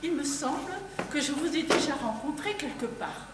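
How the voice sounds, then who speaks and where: A polite, vague English lady, played by a woman on a live stage. She speaks in a high, formal tone. The recording is distant and echoey, with clear background noise. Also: expressive, normal speed. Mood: wondering. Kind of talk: amateur stage acting. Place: theatre stage before an audience.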